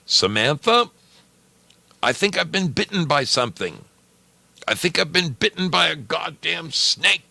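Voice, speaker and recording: An eerie shapeshifter mimics a worried father in a raised, bright voice, performed by a male reader. It calls out insistently and pleadingly, as in a spoken ghost story. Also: a little high, big pitch swings, a little slow, gravelly, no room sound, pitched above the performer's own voice, heavily acted. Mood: agitated, pleading. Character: menacing shapeshifting specialist imitating a worried father. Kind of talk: dramatized ghost story reading.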